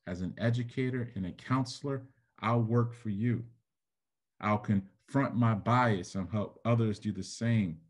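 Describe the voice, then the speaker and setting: A man performing spoken word poetry in an earnest, deliberate, rhetorical way. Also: lowish voice, darkish tone. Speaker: poet, educator and counselor.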